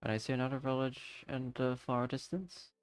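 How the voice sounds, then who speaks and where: A man chats casually through a live game stream, talking to himself and his viewers as he plays. His voice is smooth and resonant. It is recorded close and dry on a desk microphone. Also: medium pitch, level tone, moderate pace, no echo, faint music behind. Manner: chatty, talks to himself and to chat viewers. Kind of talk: casual live-stream chat while gaming.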